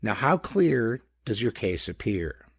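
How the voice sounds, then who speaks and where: A man's voice: fairly low pitch, very animated, slow, gravelly, breathy edge, dry recording, studio quality, full character voice. A man voicing a chubby, superior male detective in a pulp audiobook, with formal, pedantic, emphatic phrasing. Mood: confident.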